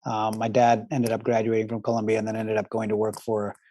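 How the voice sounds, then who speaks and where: A man's voice: fairly low pitch, monotone, brisk, mellow, dry recording. A middle-aged man, born in southern India and raised in New York, talking reflectively as an interview guest, with many restarts and fillers. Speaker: guest, tech company founder. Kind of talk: conversational interview.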